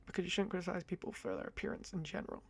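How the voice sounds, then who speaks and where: A young man in his twenties with a high-pitched voice, rambling casually to camera in a chatty, self-deprecating ASMR talk on a close mic. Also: steady tone, very fast, husky. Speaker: ASMR creator. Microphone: close condenser mic.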